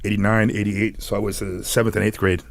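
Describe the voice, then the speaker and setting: A man's voice: lowish voice, flat delivery, fast, gravelly, no room sound. A man in his forties from San Diego, a podcast guest telling stories casually, rambling and laughing between phrases. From San Diego, United States. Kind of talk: casual storytelling.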